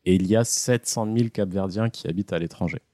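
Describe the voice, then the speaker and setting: Low-voiced man telling history. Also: fast, mellow, no room sound, studio quality. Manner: joking, trails off with many hesitations. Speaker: podcast host presenting a history segment. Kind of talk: casual podcast storytelling.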